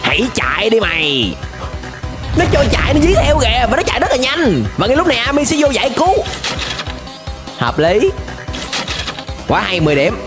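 A young man with a southern Vietnamese accent giving excited, playful, exclamatory running commentary as a reaction video host. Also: extremely high pitch, normal speed, pauses often. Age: young adult. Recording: music behind the voice.

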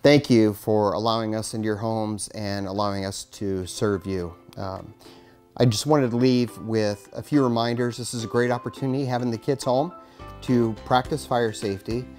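A man's voice: on the low side, fairly even intonation, moderate pace, a little mellow. Middle aged american guy, fire chief doing story time for kids, bit of music under him. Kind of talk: reading a children's storybook aloud, addressing kids. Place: indoors in front of a curtain backdrop, studio-like setup.

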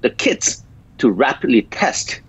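A man in his fifties from South Korea with a small Korean accent, speaking in halting sentences full of filler pauses as a guest doctor in a remote interview. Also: mid-range voice, very animated, a bit bright, husky, no echo. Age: 50s. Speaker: guest physician. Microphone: video call. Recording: dull lo-fi video call audio.

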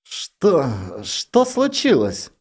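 Man voicing a stern female space commander, raised pitch. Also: wildly swooping pitch, drawn-out words, darkish tone, slightly rough, dry recording, clean studio sound, full character voice. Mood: alarmed.

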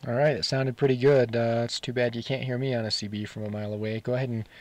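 A man's voice: medium pitch, level tone, fast, darkish tone, a little hiss or hum. A man talking casually as a radio operator running a test with a partner.

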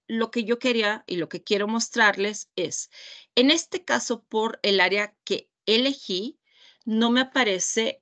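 Mexican woman, webinar trainer, very unhurried, methodical screen-share walkthrough. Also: medium pitch, a bit bright. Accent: Mexican Spanish. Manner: slow, deliberate, pausing often. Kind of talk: screen-share product demonstration. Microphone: video call.

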